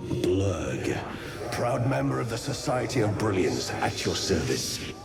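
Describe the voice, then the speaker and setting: An actor playing a proud scholarly Underdark creature in a video game, with a formal, polite and pompous manner. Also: male voice, on the low side, sing-song, measured pace, gravelly, over loud music, heavily acted. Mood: courteous, formal.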